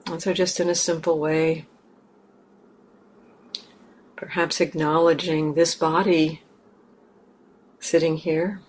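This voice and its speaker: A woman meditation teacher leading a guided meditation gently and haltingly, in short phrases with long pauses. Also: low voice, flat delivery, low-quality recording. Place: meditation center hall. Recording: clear background noise, room ambience.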